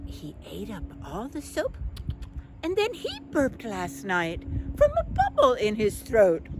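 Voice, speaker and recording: A woman's voice: fairly high pitch, huge pitch swings, slow. A woman in her seventies performing a playful children's rhyme outdoors, in a theatrical sing-song manner. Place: outdoors under a tree in a park. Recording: clear outdoor background noise.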